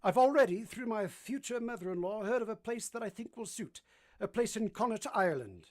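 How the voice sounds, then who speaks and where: A man playing a brooding, commanding gentleman who is both teasing and ardent, in a theatrical vintage-style radio drama. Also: high-pitched, animated, a little fast. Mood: brisk, commanding.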